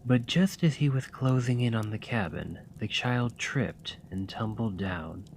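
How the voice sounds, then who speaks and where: Soft, slow-reading man. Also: mid-range voice, gravelly, slight background noise. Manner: soft, gentle, soothing reading. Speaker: ASMR roleplay partner reading a story. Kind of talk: ASMR roleplay storytelling.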